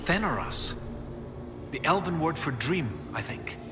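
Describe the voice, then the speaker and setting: An actor playing an absent-minded, excitable male professor of history in a video game, halting and muttering as he thinks aloud. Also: fairly high pitch, over music. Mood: intrigued. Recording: reverberant game hall, noisy ambience.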